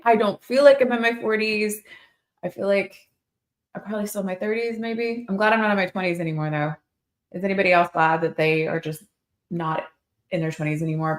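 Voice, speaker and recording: An American woman in her forties hosts a casual livestream Q&A as a nurse practitioner. Her medium-pitched voice is expressive and chatty, speaking directly to her audience. The sound is noticeably roomy and echoey. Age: 40s. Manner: chatty, informal, expressive, addressing viewers directly. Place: indoor clinic room under construction.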